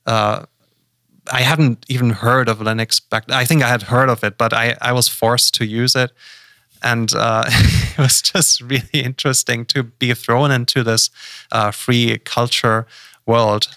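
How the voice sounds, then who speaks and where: A man talking casually on a podcast, halting often with fillers and restarts. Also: mid-range voice, steady tone, bright, forceful tone, no echo. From Germany. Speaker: podcast guest.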